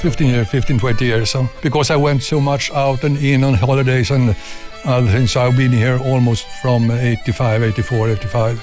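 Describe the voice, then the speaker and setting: Older Swedish man, calm and plain-spoken. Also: mid-range voice, faint music behind. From Sweden. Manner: calm, plain-spoken, pauses to find English words. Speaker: interviewee, retired army officer. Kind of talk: casual chat at home. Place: indoors, home library.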